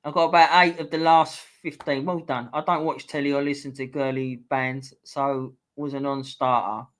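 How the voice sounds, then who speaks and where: A man's voice: medium pitch, flat delivery, normal speed, fairly bright tone. Swearing man hosting a live quiz. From England. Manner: swearing, banter with chat viewers. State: voice going a bit hoarse. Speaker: livestream host. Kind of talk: casual live stream chat, running a quiz.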